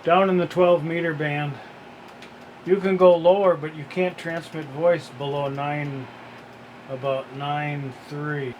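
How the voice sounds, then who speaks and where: A man with a slightly high-set voice gives a casual hands-on product demonstration. He speaks very slowly and haltingly, in a matter-of-fact, unscripted way. Steady noise sits clearly behind his voice. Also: dull audio. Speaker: radio hobbyist demonstrating gear.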